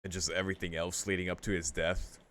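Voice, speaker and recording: A man with a deep voice streams a story game. He alternates between reading narration and relaxed asides, musing aloud and swearing casually. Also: fast. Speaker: streamer playing through and reading a visual novel.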